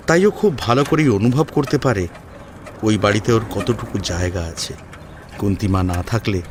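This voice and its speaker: A man's voice: mid-range voice, slight background noise. A man narrating an audio story in a dramatic, scripted storytelling style. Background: faint background music.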